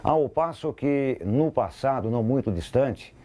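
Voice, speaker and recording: A man's voice: mid-range voice, animated, a little slow, warm tone. A Brazilian man, a radio sports reporter, casually recalling his work in an interview.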